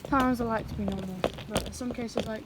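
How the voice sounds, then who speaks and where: A woman's voice: on the high side, fairly even intonation, quick pace, very noisy. A young woman in casual chat, talking into her phone outdoors while biking and walking, rambling and apologetic with many fillers, sometimes out of breath. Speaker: vlogger. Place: outdoors in the countryside. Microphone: phone held or carried.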